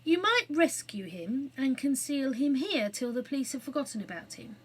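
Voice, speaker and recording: A woman's voice: high-pitched, normal speed, slightly rough, pitched above the performer's own voice, poor audio. A thoughtful magic bird, read by a woman. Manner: thoughtful, calm.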